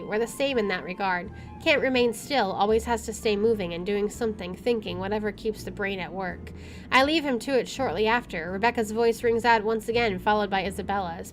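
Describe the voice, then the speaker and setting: A woman doing a let's play, reading story text aloud expressively with informal asides. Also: a little high. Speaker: gaming YouTuber. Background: game music.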